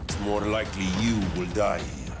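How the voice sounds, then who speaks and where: A man's voice: fairly low pitch, moderate pace, lightly acted. A man playing a haughty, menacing ancient villain with godlike power and a formal, imperious manner in a video game. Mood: haughty menace. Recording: loud cinematic music behind.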